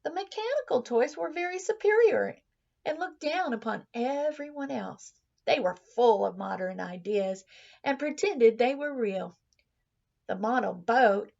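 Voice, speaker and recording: Slow, creaky-voiced woman reading a story. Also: a little high. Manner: expressive, deliberate storytelling. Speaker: teacher reading aloud. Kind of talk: scripted children's story narration. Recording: slightly dull, muffled sound.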